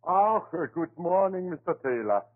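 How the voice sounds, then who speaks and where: An actor playing a garrulous, good-natured German immigrant shopkeeper, a butcher with a German accent and broken English, in a 1930s radio drama. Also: male voice, high-pitched, big pitch swings, normal speed, a little hiss or hum. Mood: chatty. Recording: old tinny 1930s radio recording.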